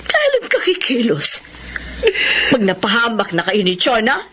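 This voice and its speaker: A protective older sister lectures her sibling, her pitch rising and falling with feeling. A faint hiss of background ambience sits behind her. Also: wildly swooping pitch, unhurried, sharp tone, exaggerated voice. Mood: scolding. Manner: scolding, insistent, emotional. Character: outspoken older sister, worried and scolding. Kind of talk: radio drama acting.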